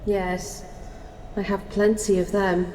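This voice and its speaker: A woman's voice: medium pitch, little pitch movement, slow pace, darkish tone, lightly acted. An actress playing Life personified in an audio drama, a passionate, scheming woman with a dramatic, imperious manner. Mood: reproachful. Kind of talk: theatrical audio drama acting. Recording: noisy, echoey hall with long reverb.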